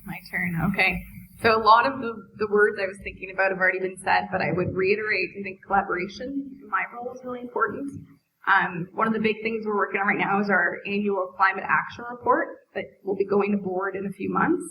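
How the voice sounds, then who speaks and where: A woman on school board sustainability staff, explaining her work briskly on a panel. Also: mid-range voice, sharp tone, poor audio. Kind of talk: panel talk.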